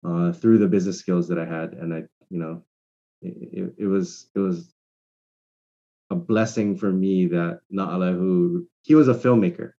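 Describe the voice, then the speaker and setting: A man, speaking as a guest in a measured, reflective way over a remote call. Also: low-pitched, fairly even intonation, dark tone, lo-fi sound. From Hawaii. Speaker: guest entrepreneur. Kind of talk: casual conversation.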